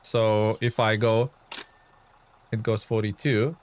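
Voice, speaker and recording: A man's voice: on the low side, level tone, slow. A man from Lithuania chatting loosely on a live game stream, reading out and answering viewer comments with plenty of fillers. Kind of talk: casual live-stream chat.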